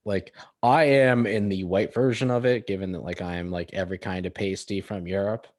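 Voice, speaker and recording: Young male co-host with a low voice, relaxed and self-deprecating. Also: fairly quick. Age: young adult. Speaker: podcast co-host. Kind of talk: casual chat.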